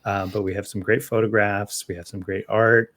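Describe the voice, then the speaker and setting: A man's voice: fairly low pitch, flat delivery, normal speed, fairly bright tone. A man co-hosting a local news podcast in casual chat, with a playful, digressive way of talking.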